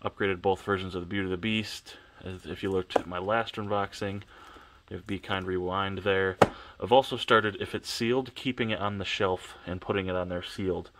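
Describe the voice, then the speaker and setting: A man's voice: low voice, steady tone, normal speed, fairly bright tone, slight background noise. A congested, sniffling man giving a casual, rambling walkthrough of his shelves at home, talking offhand and correcting himself, recorded on a camera on a tripod. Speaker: collector vlogger.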